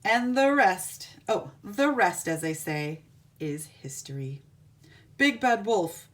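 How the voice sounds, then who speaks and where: Animated woman reading a storybook aloud. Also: medium pitch, slow. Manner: animated, dramatic storytelling with stretched words. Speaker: teacher reading a picture book aloud. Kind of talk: expressive storybook read-aloud for young children.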